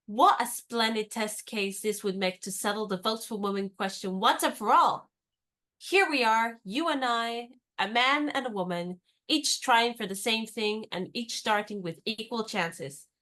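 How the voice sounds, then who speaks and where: A woman playing a bold, bright young heroine. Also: on the high side, measured pace. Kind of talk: radio play acting.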